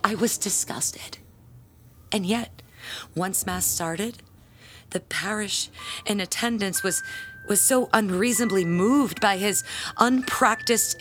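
A woman's voice: mid-range voice, fairly bright tone. A woman acting in an audio drama as an aggrieved, pompous church handbell ringer, speaking in a theatrical, indignant manner. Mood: disgusted, aggrieved. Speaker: parishioner in confession.

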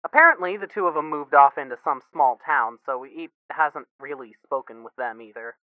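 Man acting an excitable brother on a tinny phone. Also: on the high side, normal speed, no room sound. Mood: apologetic, hesitant. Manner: swearing, stammering, blurting. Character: excitable, foul-mouthed young man, emotional and blurting brother. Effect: phone filter. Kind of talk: audio fan fiction drama dialogue. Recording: thin phone-like band, dry.

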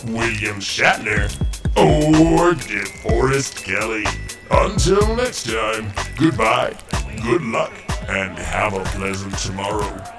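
A man's voice: fairly low pitch, big pitch swings, slow pace, recorded from a distance, over music. A man hosting a mock game show with scripted comic narration, in a theatrical, exaggerated announcer style.

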